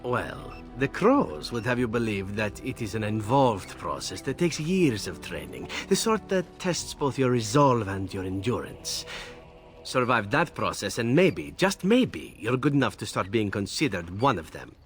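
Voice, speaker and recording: An actor playing a suave, flippant assassin in a video game, talking in a smooth, wry way. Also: male voice, on the low side, sing-song, unhurried. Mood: wry, amused. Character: suave roguish male assassin, flippant and sly. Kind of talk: video game character dialogue. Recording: music behind the voice.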